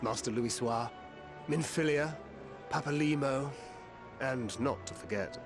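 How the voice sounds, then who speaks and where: A man's voice: mid-range voice, lightly acted. A man voicing a poised polite young man. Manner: polite and measured. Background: game soundtrack music.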